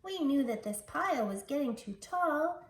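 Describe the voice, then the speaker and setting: Lady doing tiny worried scribble guys from a picture book, high n whiny, echoey. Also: expressive, moderate pace, distant mic. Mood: upset. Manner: plaintive, crying out. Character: crew of little paint scribbles, anxious and dramatic. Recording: roomy, noisy home recording.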